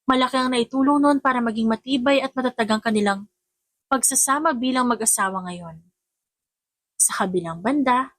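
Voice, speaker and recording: A woman's voice: high voice, no echo. A woman with a Filipino accent reading scripted romance fiction as an audiobook narrator.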